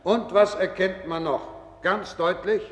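Male professor, radio drama: formal, instructive, authoritative, slightly high pitch, reverberant lecture hall. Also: fairly even intonation, a little slow. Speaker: professor lecturing medical students. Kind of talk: German radio play acting, lecture delivery. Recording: echoey hall with long reverb.

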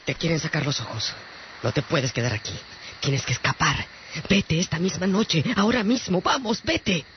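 A man's voice: on the high side, lively intonation, moderate pace. A man playing an anxious friend in a radio drama, giving an urgent warning. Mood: urgent, alarmed. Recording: muffled, faint ambient noise.

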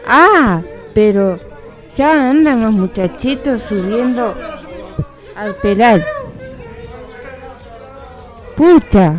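Woman playing a slow-spoken poor old village lady. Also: on the high side. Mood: musing, annoyed. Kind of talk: amateur radio play of a folk tale.

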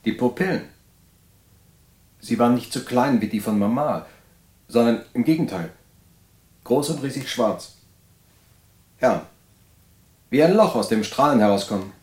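An actor playing an evasive, self-justifying middle-aged husband in an asylum, speaking haltingly in an audio drama. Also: male voice, mid-range voice, expressive, a little mellow, lightly acted. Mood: uneasy, brooding. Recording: roomy, echoing interior.

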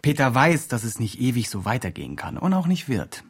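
A young male campaigner in a radio drama. He reports on his project with earnest zeal and self-importance. His voice is a little husky, at an ordinary male pitch. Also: sing-song, a little fast. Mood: earnest, pleased. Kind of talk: scripted campaign diary narration.

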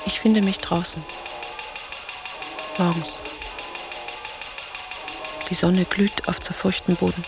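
A woman's voice: fairly low pitch, level tone, slow, pauses often, over music. An actress playing a middle-aged, weary travelling stage woman, speaking an introspective inner monologue in sparse fragments for a radio play. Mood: pensive, desolate. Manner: sparse, fragmentary, reflective phrases.

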